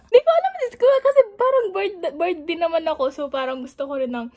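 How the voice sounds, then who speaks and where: A woman vlogger chatting casually on prank calls to friends, with a giggly, animated manner. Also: very high-pitched. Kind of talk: casual chat on the phone.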